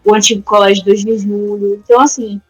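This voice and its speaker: A woman's voice: medium pitch, little pitch movement, brisk, breathy edge, dry recording, muffled recording, soft background music. A woman guest on a podcast who teaches and does research, explaining casually with many fillers and restarts. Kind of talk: casual conversational interview. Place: resident of a neighborhood in Salvador, Brazil.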